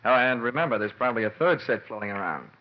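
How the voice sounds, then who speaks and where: A man's voice: mid-range voice, lively intonation, quick pace, close to an everyday voice. A man playing a wry, world-weary insurance investigator in a classic radio detective drama, with dry, slangy delivery. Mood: dry, assured. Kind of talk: classic radio detective drama acting, hard-boiled narration. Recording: muffled old radio recording with music.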